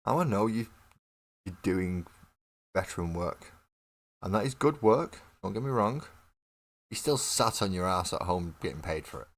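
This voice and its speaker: A man's voice: low voice, expressive, moderate pace, long pauses. A man hosting a model painting livestream, chatting casually with viewers as he builds, with a morning cough and frequent laughs. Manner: chatty, laughs often, talks to viewers in chat. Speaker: mini painting livestream host.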